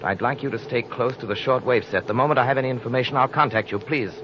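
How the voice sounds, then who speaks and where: A man's voice: mid-range voice, natural voice. Guy playing invisible crime fighter hero, old radio drama, formal n commanding, dull noisy recording. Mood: brisk, confiding. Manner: formal, authoritative, measured. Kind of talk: vintage radio drama acting.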